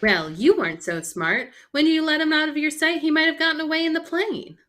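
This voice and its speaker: A woman's voice: high voice, brisk, fairly bright tone. A woman playing an outspoken, sardonic female sidekick in an old-time radio mystery, with folksy exclamatory delivery. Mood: sardonic, challenging.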